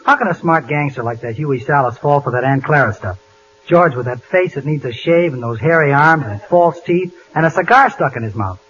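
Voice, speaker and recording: A man's voice: on the high side, brisk, a little hiss or hum. Bewildered man, muffled old radio. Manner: deadpan, bewildered. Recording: muffled 1940s radio broadcast.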